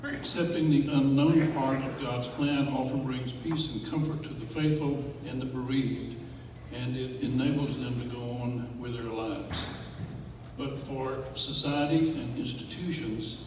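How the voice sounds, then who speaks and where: An older Texan man delivers a grave, unhurried talk to a club audience. He pauses often and leans on fillers. The recording is distant and echoey, with steady noise in the background. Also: mid-range voice. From West Texas. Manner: measured, grave, with frequent uh fillers. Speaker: guest speaker. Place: meeting hall. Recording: echoey hall from far off, noisy.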